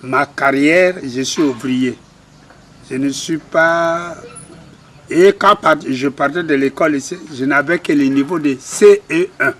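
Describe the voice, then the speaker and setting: An older man with a Congolese French accent recounting his life in an interview, halting and modest. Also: fairly high pitch, fairly bright tone. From Republic of the Congo. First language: a Congolese language. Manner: slow, halting, self-deprecating. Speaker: interviewee, retired cabinetmaker. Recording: faint background noise.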